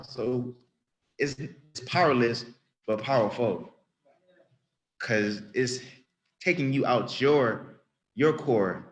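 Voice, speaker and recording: A man's voice: mid-range voice, low-quality recording. A man around thirty giving an earnest, rambling spoken testimony in church, often restarting and repeating himself. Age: about 30.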